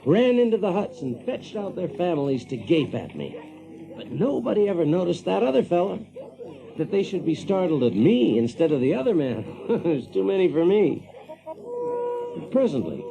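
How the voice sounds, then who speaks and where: A man's voice: on the high side, gravelly, low-quality recording. An actor playing a brash, practical Yankee factory man who tells his tale in a folksy, chuckling way for an audio drama. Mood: wry, marveling. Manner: folksy, chuckling, animated, drawling emphasis. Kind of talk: audio drama first-person storytelling.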